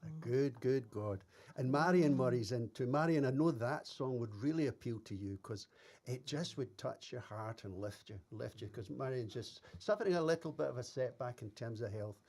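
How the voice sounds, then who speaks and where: A man hosts a live music stream with a voice of middle pitch. He reads out viewers' comments and gives thanks and blessings in an effusive way. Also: normal speed. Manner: warm, effusive praise and blessings. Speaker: host.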